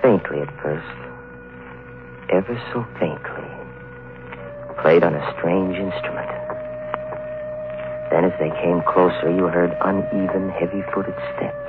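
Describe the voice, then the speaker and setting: A man's voice: sits low, a little slow, long pauses, phone-quality sound. An actor playing a troubled man telling an eerie tale in a 1940s radio drama, with theatrical, suspenseful delivery on a thin old recording with music. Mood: eerie, hushed. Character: troubled male storyteller recounting an eerie, dread-filled tale.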